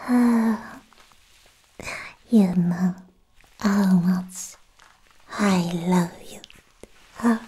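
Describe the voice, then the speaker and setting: A very breathy, whispering alien girlfriend, played by a young woman, soft and mellow in tone. She coos extremely slowly and close to the mic, lovestruck and possessive, in an intimate ASMR roleplay style. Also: on the high side, full character voice, poor audio. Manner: slow, cooing, doting. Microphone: close ASMR microphone.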